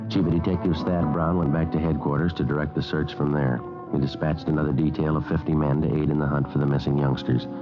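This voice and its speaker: A businesslike police detective in a 1950s radio drama. His voice is extremely deep and his delivery dry and matter-of-fact. The old recording is muffled, with music clearly behind it. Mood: grave, matter-of-fact. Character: dry, businesslike male police sergeant narrating his case.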